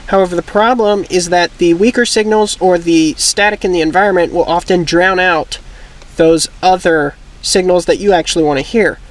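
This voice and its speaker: A man speaks in a fairly high-pitched voice as the host of an instructional video. His delivery is casual but methodical, laying out each point step by step. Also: moderate pace, poor audio.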